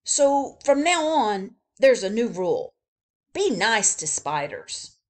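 A woman reading a storybook aloud, voicing a male zookeeper in charge, loud and emphatic. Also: on the high side, sing-song, slow. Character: puzzled, bossy male zookeeper.

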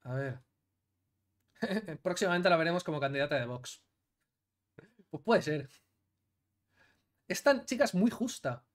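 Hombre indignado comentando desde su cuarto. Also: male voice, on the high side, measured pace, pauses often. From Catalonia. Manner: indignant, emphatic, animated. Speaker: commentator reacting to clips. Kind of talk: opinionated commentary video. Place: small room with blue LED lights, indoors.

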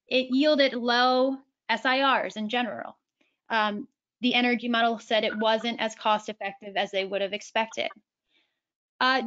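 Woman, webinar moderator, higher pitch, friendly scripted intros, slightly muffled line. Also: fairly bright tone. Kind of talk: hosting a webinar.

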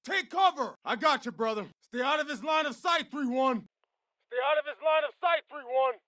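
A battle-hardened American Marine squad leader in a military shooter game. His voice sits high for a man and is recorded close and dry. He speaks in clipped, salty commands with total confidence. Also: expressive, moderate pace, sharp tone, no echo, exaggerated voice. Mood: urgent, shouting. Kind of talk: video game combat dialogue.

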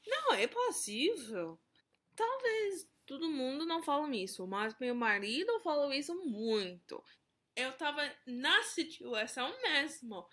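A young woman with an American accent talks straight to a phone camera at home, dramatically exasperated as she works through her point. She speaks slowly and deliberately. The home recording sounds close and informal. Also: high-pitched. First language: English. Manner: animated, exasperated explaining. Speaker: youtuber. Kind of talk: vlog to camera. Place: small room at home. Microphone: phone camera at arm's length.

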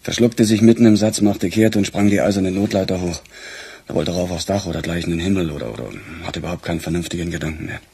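An actor playing a weary, wry male police detective in a conversational style for a German radio crime drama, with a rough, husky voice. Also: sits low, quick pace, warm tone, slight background noise. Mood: wry, recounting.

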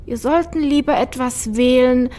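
Woman playing a gentle, level-headed female troll. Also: high-pitched, lightly acted. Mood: reasoned. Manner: measured, reasoning. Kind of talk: fan audio drama acting.

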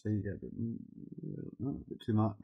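A middle-aged man with a cold and a fading, husky voice, talking haltingly through an informal step-by-step software tutorial and thinking aloud as he works. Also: on the low side, fairly even intonation, muffled recording, soft background music. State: has a cold, losing his voice. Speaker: video editing tutorial presenter.

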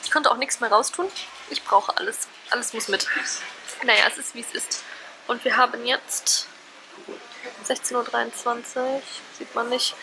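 A woman's voice: fairly high pitch, fairly even intonation, moderate pace, echoey room, background noise. A young woman in her twenties chatting casually to her camera at home, laughing often. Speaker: vlogger. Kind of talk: casual vlog chat. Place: apartment indoors. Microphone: handheld camera at arm's length.

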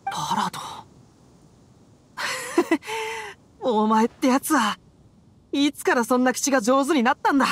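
A man's voice: very high voice, fairly quick, a bit bright, dry recording. A man playing an unlucky, kindhearted boyish adventurer in anime-style game dialogue, emotional and self-blaming. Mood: touched, teasing.

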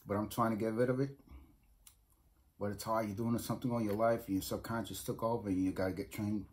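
Man, New York accent, middle-aged, lowish voice, rambling casual vlog talk, frequent restarts, small room at home, close phone mic. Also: fairly even intonation, fast talker, a little mellow. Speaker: vlogger. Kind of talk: casual food review vlog. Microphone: phone or webcam close up.

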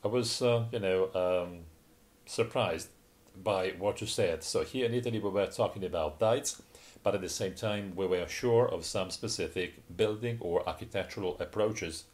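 Low-voiced male interpreter, halting. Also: darkish tone. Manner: hesitant, many fillers and restarts. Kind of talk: simultaneous interpretation of a video-call talk.

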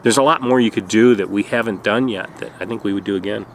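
A man's voice: fairly low pitch, fast, slight background noise. A middle-aged man hosting a travel vlog, chatting casually outdoors beside an RV and often correcting himself as he goes. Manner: relaxed, rambling, self-correcting. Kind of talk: casual chat. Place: outdoors beside an RV on a sunny day.

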